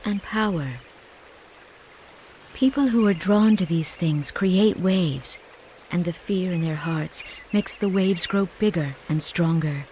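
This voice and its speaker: Gentle, wise mother over faint noise. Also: on the low side. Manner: tender, patient, explanatory. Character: gentle, wise mother talking to her young child. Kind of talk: scripted game cutscene dialogue.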